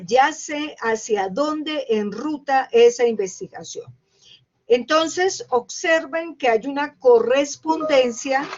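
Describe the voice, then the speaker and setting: Woman lecturer, higher voice, hesitant explainer, muffled online-class sound. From Colombia. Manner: reads quickly, then explains slowly with many hesitations. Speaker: university lecturer. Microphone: computer mic on a video call. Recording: dull lo-fi online class audio.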